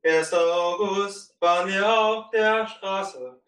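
A man's voice: high-pitched, takes it slowly, fairly bright tone. A man, a singer, introducing and singing a parody song at the close of a gathering. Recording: roomy, from far off.

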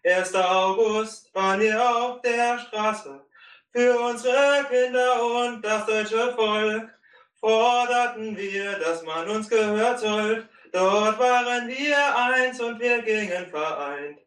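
A man's voice: high-pitched, slow, fairly bright tone. A man, a singer, introducing and singing a parody song at the close of a gathering. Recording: roomy, from far off.

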